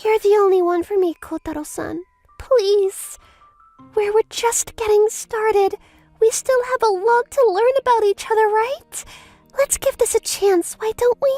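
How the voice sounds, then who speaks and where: Very high-pitched haughty schoolteacher played by an actress. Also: female voice, a little slow, dark tone, faint music behind. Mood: desperate pleading. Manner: theatrical, flustered, pleading. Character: haughty, scheming female schoolteacher desperate for a rich fiancé. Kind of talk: anime-style comic dub acting.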